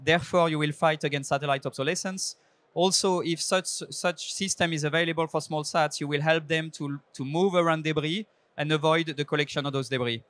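A man in his thirties pitches his company from a trade show stage. His voice sits slightly high for a man and sounds dry and close on a handheld mic. He talks earnestly and steadily, with small fillers and restarts. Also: steady tone, brisk, fairly bright tone, no room sound. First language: French. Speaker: startup CEO pitching. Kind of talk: startup pitch presentation. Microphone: handheld microphone.

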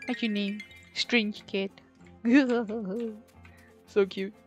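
A woman's voice: medium pitch, steady tone, very slow. A woman giving casual gameplay commentary, musing to herself and exclaiming at what she finds. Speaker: gaming streamer. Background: soft game music.

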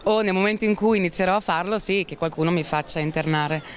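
A woman's voice: on the low side, fast. A woman in her thirties giving sarcastic, outspoken opinions to a street interviewer, outdoors into a handheld mic. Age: 30s. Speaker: passerby interviewed. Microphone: handheld microphone.

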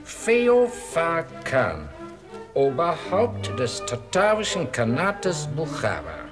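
An actor playing a fussy, proud newspaper correspondent in a German radio play, halting, eager and pedantic. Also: male voice, a little high, wildly swooping pitch, music in the background, heavily acted.

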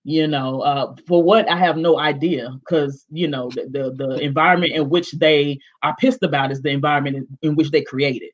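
A woman's voice: low voice, moderate pace, few pauses. Big-voiced woman on a dull call. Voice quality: big expressive voice. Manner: emphatic, direct, many fillers and restarts. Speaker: panelist. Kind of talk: panel discussion on video call. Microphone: video call. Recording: dull lo-fi video call audio.

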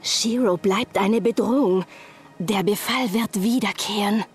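A woman's voice: mid-range voice, measured pace, husky, soft background music. A woman playing an earnest, worried young heroine in a fantasy game. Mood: worried, serious. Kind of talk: dubbed fantasy game cutscene acting.

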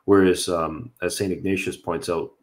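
A man's voice: deep voice, level tone, moderate pace. A young Canadian man, an Orthodox priest, answering viewer questions in an earnest, explanatory way over a video call from a room at home. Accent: Canadian English. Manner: earnest, explanatory, with frequent fillers and light laughs. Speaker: Orthodox priest hosting a livestream. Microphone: video call webcam.

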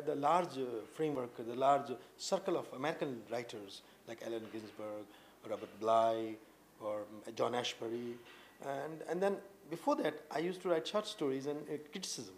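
Indian guy late 30s, halting interview answers, lots of uh, normal pitch. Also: slight background noise. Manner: earnest, halting, lots of uh fillers and restarts. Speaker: poet guest. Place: indoor room with tapestries and a sofa.

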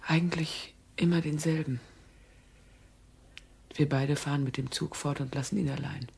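A woman acting a tender, anxious wife and mother in a German radio play, with a husky, breathy voice. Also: low-pitched, steady tone, normal speed, darkish tone, a little hiss or hum. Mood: hushed, confiding.

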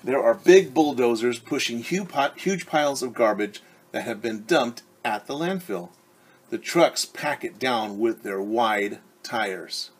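A man's voice: mid-range voice, lively intonation, a little slow, slightly rough. A man in his forties reading a picture book aloud as a teacher, stopping to explain things simply and ask the children questions. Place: living room at home, indoors. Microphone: device camera at about arm's length.

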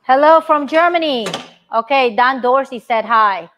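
A woman's voice: high voice, measured pace, fairly bright tone, a little hiss or hum. A woman co-hosting a live stream from a garage, cheerfully reading out viewer comments. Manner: cheerful, reads comments aloud. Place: home garage workshop.

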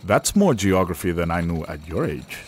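An actor playing a proud, courtly Cuban violin virtuoso in an audio drama, formal and condescending. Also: male voice, low voice, sing-song, moderate pace, breathy edge, full character voice. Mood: proud, measured.

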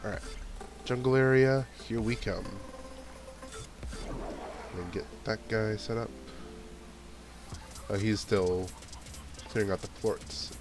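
A man's voice: lowish voice, takes it slowly, long pauses. A man with a stuffy nose giving casual commentary while playing a video game, thinking aloud about what to do next. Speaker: let's play gamer. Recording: game music playing under voice. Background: game background music.

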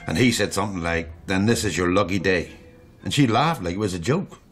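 An actor in a radio drama playing a hitch-hiker who recounts things plainly in short phrases. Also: male voice, low voice, animated, a little fast. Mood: matter-of-fact. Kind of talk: naturalistic radio drama acting.

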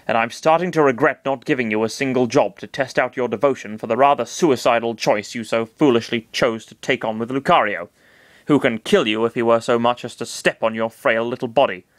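A man's voice: medium pitch, a little fast, no room sound, lightly acted. A man voicing a smug, condescending giant cosmic hand with a sarcastic, grumbling manner.

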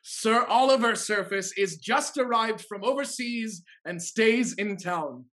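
A man playing an earnest, husky-voiced confidant. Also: sits high, unhurried, a bit bright. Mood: newsy. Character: discreet, earnest male family confidant bearing news. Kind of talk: period comedy stage acting over video call. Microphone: video call.

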